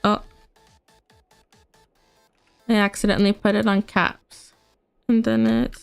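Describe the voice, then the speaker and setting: A woman's voice: mid-range voice, level tone, slow pace, vocal fry, clear, resonant tone. A young woman with a congested voice, chatting casually and rambling with lots of fillers as a livestream host. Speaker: VTuber streamer. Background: faint music.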